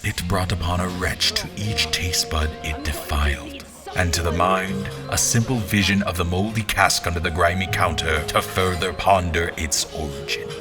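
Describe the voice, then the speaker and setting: A man's voice: sits low, fairly even intonation, normal speed, close to an everyday voice. A man playing a wary, brooding general who narrates his own fantasy tale with a wry, literary turn. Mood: wry, disgusted. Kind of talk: scripted first-person fantasy narration. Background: music.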